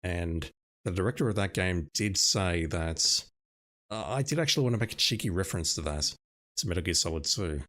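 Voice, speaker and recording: A man's voice: low-pitched, animated, brisk, a bit bright. A man whose first language is Russian, casually talking through a video game as he plays, musing and chatty, with game music behind him. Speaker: streamer. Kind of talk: casual gameplay commentary.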